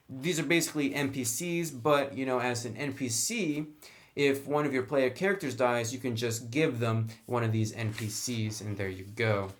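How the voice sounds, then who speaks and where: Casual man reviewing a tabletop game book. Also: mid-range voice. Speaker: reviewer of tabletop roleplaying books. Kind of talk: casual unscripted review commentary.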